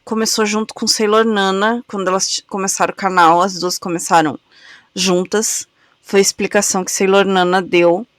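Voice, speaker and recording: A woman's voice: mid-range voice, steady tone, no echo, clean studio sound. A woman hosting a react channel, chatting affectionately with viewers while she has a cold. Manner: chatty, affectionate, slow and drawn-out. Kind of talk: casual chat to viewers.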